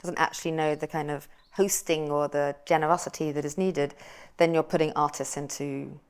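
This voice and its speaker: A woman moderating a symposium panel in a lowish, smooth voice. She speaks in a measured way, pausing on filler ums as she shapes her points. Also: level tone. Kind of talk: panel discussion.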